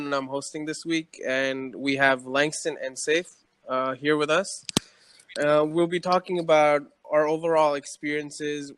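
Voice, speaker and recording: A young man hosting a casual podcast, relaxed and joking as he introduces guests and asks questions. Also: medium pitch, clear, resonant tone, no room sound. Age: young adult. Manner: relaxed, joking, leads the conversation. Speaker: podcast host. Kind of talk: casual chat.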